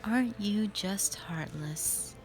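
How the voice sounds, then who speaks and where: A woman plays a cruel spider monster in an audio roleplay. She taunts in a slow, mocking drawl full of menace. Her mid-pitched female voice sits over faint music. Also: a little mellow, lightly acted. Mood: bitter, scornful. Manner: menacing, mocking, drawn-out delivery.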